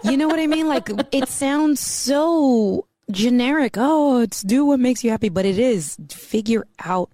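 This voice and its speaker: A woman's voice: high voice, a little slow, few pauses, mellow, no room sound, clean studio sound. A woman from the East Coast of the US, a mortgage banker talking as a podcast guest in a chatty way, full of "you know.". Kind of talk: casual podcast conversation.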